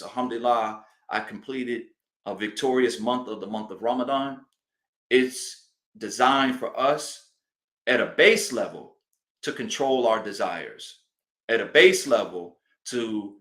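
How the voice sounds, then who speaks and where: A man delivers a religious teaching address in a mid-pitched voice, deliberate and emphatic. He often repeats a phrase to press the point. The recording is roomy and echoing, like live public speaking indoors. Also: a bit bright. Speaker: imam giving a religious address. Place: indoor hall of a mosque.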